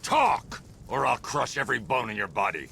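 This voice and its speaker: An actor playing a grim, intimidating masked vigilante with a gravelly voice and a terse, commanding manner. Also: male voice, medium pitch, sing-song, moderate pace, sharp tone, a little hiss or hum, exaggerated voice. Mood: threatening.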